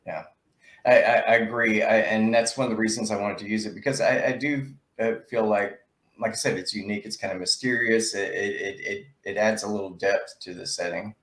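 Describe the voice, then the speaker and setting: Hesitant low-voiced Tennessee author, echoey room. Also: male voice, fairly even intonation, fairly quick. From Tennessee, USA. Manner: hesitant, repeats words, soft-spoken. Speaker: author guest. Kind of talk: interview answers.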